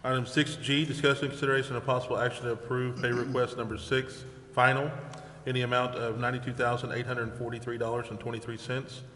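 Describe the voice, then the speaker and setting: A man's voice: mid-range voice, flat delivery, quick pace, fairly bright tone. A man chairing a council meeting, formally reading out agenda items in a procedural, official way. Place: indoor council chamber. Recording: echoey council chamber, faint noise.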